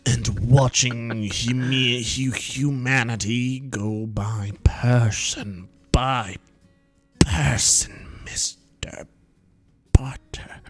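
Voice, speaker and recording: A man's voice: mid-range voice, steady tone, very slow, fairly bright tone, faint music behind. A man reading a visual novel aloud to friends, playful and slipping in whispered joke asides. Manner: playful, drops whispered running-gag asides.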